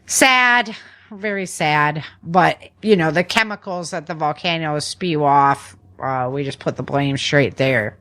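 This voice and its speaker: A woman's voice: sits low, a little slow, a bit bright. An American woman hosting a podcast, running through news in a chatty, informal way with slangy casual commentary. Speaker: podcast host.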